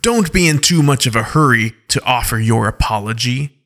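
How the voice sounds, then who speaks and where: A man's voice: medium pitch, lively intonation, moderate pace, fairly bright tone. A man voicing a stern proud wolf chief. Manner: stern, commanding.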